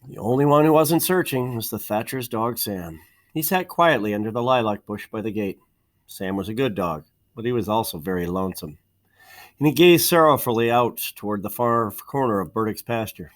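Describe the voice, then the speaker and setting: A man reading a children's picture book aloud in an expressive, measured storytelling manner, stressing key words. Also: on the low side. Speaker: storybook reader.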